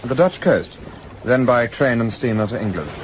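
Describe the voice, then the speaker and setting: An actor in a radio play playing a brisk, resolute young English gentleman adventurer with a clipped, formal, self-assured manner. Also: mid-range voice, animated, slight background noise, lightly acted. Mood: brisk, courteous.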